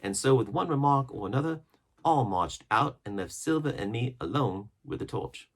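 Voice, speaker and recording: A man reading an adventure story aloud as expressive scripted narration. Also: mid-range voice, moderate pace. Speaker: audiobook narrator.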